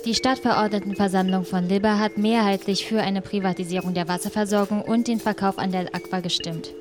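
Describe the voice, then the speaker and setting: An actress playing a composed, probing reporter who narrates a documentary-style radio play. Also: female voice, medium pitch, fairly even intonation, fairly quick, over music, close to an everyday voice.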